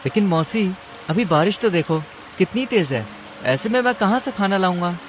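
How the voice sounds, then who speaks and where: A man's voice: high-pitched, animated, moderate pace. A man voicing a worried, polite male mouse in a cartoon story, earnest and pleading. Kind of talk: Hindi cartoon story dialogue. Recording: clear rain and ambience noise.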